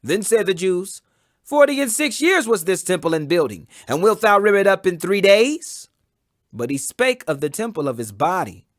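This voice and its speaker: A man with a notably high voice reads scripture in a solemn, dramatic audiobook style. His pitch moves widely as he gives weight to the words, and the sound is dry and close, free of room echo. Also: sing-song, moderate pace. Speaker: scripture reader. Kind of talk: dramatic audiobook Bible reading.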